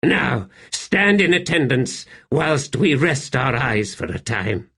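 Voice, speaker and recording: A man voicing a young emperor in an audiobook, imperious yet fragile and weary, with a halting, strained delivery. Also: medium pitch, very animated, creaky, bright, forceful tone, breathy edge, pitched above the performer's own voice, heavily acted. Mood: anxious, imperious. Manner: halting, strained, swinging between command and fragility. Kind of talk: audiobook character acting.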